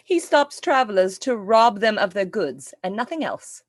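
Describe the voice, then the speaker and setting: A woman's voice: medium pitch, big pitch swings, normal speed, no echo. An actress as a feisty young female attendant in a radio drama. She is tart and blunt, with very mobile intonation and a close, dry sound. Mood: accusing. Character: sharp-tongued, feisty young lady's companion. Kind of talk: theatrical radio play acting.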